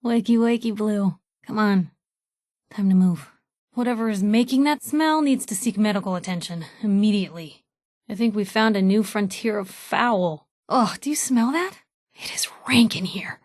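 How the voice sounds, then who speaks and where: A woman's voice: mid-range voice, moderate pace, a little mellow, no echo, clean studio sound, close to an everyday voice. An actress playing a nosy, wisecracking young woman newspaper reporter as a video game companion, wry and sarcastic in manner. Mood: wry, complaining.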